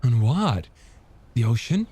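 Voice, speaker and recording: A man voicing a polite, warm and slightly shy married woman in an audiobook, gently conversational. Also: mid-range voice, sing-song, slow pace, breathy edge, pitched above the performer's own voice, exaggerated voice. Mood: curious, amused. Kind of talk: audiobook character dialogue.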